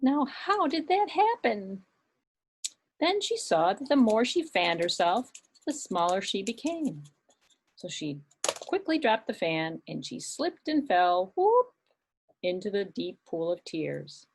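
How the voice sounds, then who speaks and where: A woman's voice: medium pitch, slight background noise. A woman, a children's librarian, telling a story with puppets for kids. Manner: animated, slow and expressive storytelling.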